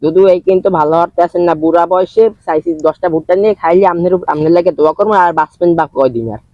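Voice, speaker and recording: A man plays a young prankster, wheedling with false politeness and pushing hard. He talks fast in a high voice, recorded dry and slightly muffled for a comic dub. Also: no room sound, lightly acted. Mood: insistent, threatening. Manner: mock-polite, insistent. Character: cheeky young prank caller, wheedling then threatening. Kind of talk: comic prank-call dubbing sketch.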